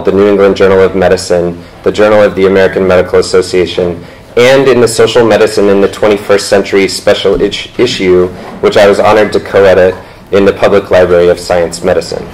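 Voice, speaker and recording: Guy in his 30s reading out panel bios, lowish voice, trips over a word now and then. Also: level tone, a little fast, a little mellow, poor audio. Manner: reads from notes, stumbles and self-corrects. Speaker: event moderator introducing panelists. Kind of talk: reading speaker introductions. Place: lecture room, indoors.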